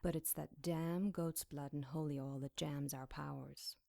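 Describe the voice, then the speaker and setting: A woman's voice: sits low, fairly even intonation, measured pace, creaky, dark tone, no echo, clean studio sound. An actress playing a captive demon witch in an audio drama, sly, wry and defiant in a dryly mocking way.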